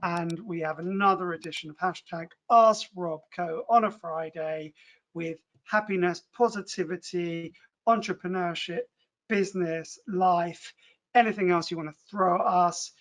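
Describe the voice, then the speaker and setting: A man's voice: high-pitched, measured pace, fairly bright tone. A male host opening a live online show with energy, rattling off lists over a video call. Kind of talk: upbeat show introduction.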